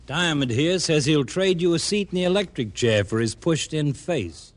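An actor playing a dry, no-nonsense American police lieutenant in an old-time radio drama, terse and authoritative. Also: male voice, a little high, lively intonation, normal speed, close to an everyday voice. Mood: firm.

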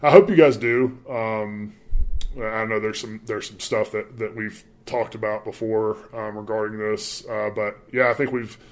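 Low-voiced man with a mild Southern accent. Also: steady tone, unhurried. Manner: rambling, filler-heavy, many restarts. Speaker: departing sports reporter. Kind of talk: casual podcast chat.

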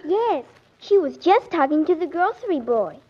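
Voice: high-pitched, sing-song, moderate pace. A performer playing an innocent, tattling little daughter in a 1940s radio comedy, reciting what she overheard. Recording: muffled 1940s radio recording.

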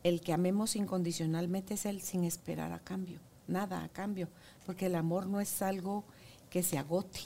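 A woman hosts a relaxed conversation. Her voice is low and gravelly, with a dark, mellow tone, and she chimes in with quick agreements. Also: a little hiss or hum. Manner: warm affirming, many short agreements. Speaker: host. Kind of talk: conversational interview.

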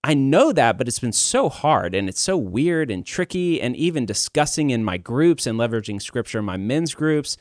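A man's voice: medium pitch, sing-song, moderate pace, hardly any pauses, dry recording, studio quality. A man in his thirties hosting a casual podcast, talking in a rambling, candid, self-deprecating way. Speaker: podcast host. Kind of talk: casual conversational podcast talk.

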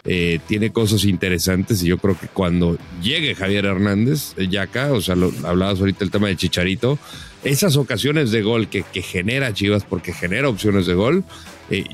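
A Mexican man on a sports podcast, giving measured, analytical football commentary with frequent "eh" fillers. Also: low-pitched. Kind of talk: casual football analysis chat.

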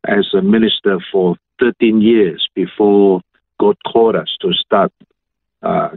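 A middle-aged man with a Malaysian accent, a pastor answering interview questions in halting, measured phrases over a video call. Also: fairly low pitch, no echo. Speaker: senior pastor, interview guest. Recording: thin phone-like video call audio.

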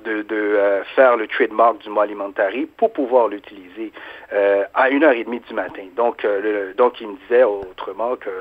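A man's voice: on the low side, fairly even intonation, normal speed. A man with a Quebec French accent answering informally as a small business owner in an interview. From Quebec resident of Haitian origin. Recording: narrow phone-like sound.

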